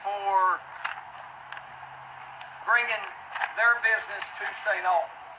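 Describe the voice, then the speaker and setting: A man giving press conference remarks as a city official, in a halting, plainspoken, folksy manner. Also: high voice, steady tone. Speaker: city official speaking at an announcement. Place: public announcement event. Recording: noisy distant mic, ambient background hum.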